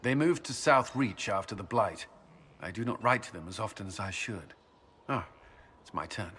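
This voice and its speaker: An actor playing an earnest, dutiful military commander in a video game, reserved but friendly, with formal, courteous speech. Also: male voice, lowish voice, expressive, normal speed, a bit bright, slight background noise. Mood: wistful, relaxed. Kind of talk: video game cutscene acting.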